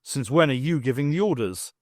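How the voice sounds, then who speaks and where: A man's voice: mid-range voice, lively intonation, brisk, no room sound, studio quality, lightly acted. A man voicing a suspicious, belligerent male dwarf in an audiobook, blunt and challenging.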